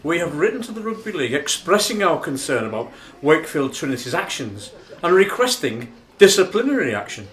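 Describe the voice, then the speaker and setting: An actor playing a formal, indignant dinner speechmaker in a radio play, declamatory and emphatic. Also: male voice, a little high, very animated, a little fast, few pauses, a bit bright. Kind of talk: theatrical radio play monologue. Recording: roomy, echoing sound.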